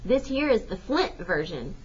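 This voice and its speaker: A woman's voice: mid-range voice, very animated, a little slow, muffled recording, a little hiss or hum. A woman voicing a folksy, wry, plainspoken drifter man in an audiobook. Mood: wry, explaining. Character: folksy, wry hobo man with mouth organ. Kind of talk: audiobook character voice.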